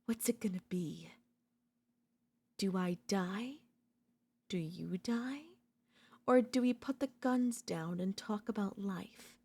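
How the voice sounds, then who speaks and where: A woman's voice: mid-range voice, creaky, warm tone, husky, heavily acted. A woman acting an obsessive, unhinged yandere. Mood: menacing devotion. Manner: slow, teasing, theatrical, slipping into whispers. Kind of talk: dark ASMR audio roleplay, intimate dramatic acting.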